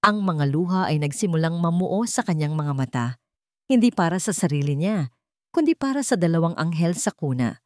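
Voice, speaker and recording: A woman with a Filipino accent reads a scripted dramatic story in a measured storytelling manner. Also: lowish voice, lively intonation, no room sound, clean studio sound. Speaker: story narrator. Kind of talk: scripted dramatic narration of a Tagalog love story.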